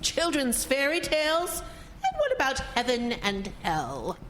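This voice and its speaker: An actress plays a devout, proper woman in a radio play. Her voice is high and slow, stern yet flustered, as if refusing to admit she is afraid. Clear background noise sits behind her. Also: huge pitch swings, a bit bright, exaggerated voice, poor audio. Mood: indignant. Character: prim, pious, indignant woman frightened but denying it. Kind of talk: scripted audio drama acting.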